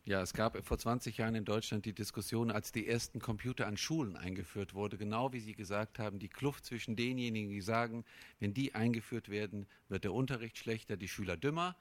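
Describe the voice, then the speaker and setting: A man moderating a panel event, brisk and chatty, stumbling now and then over words. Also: fairly low pitch.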